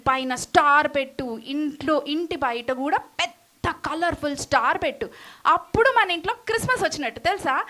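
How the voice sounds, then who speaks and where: Lady doing a little kid puppet girl, super high and bright, naive n braggy, puppet show. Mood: boastful, eager. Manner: childlike, boastful, questioning. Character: Goldie, chatty naive excitable child puppet, girl. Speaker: puppeteer in children's church show. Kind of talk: children's puppet show dialogue.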